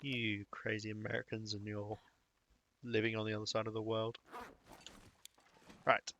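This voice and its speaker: Chatty British man, bright voice. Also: on the low side, monotone, normal speed, slight background noise. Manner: chatty, rambling, chuckles between remarks. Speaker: streamer. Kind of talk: live gaming commentary.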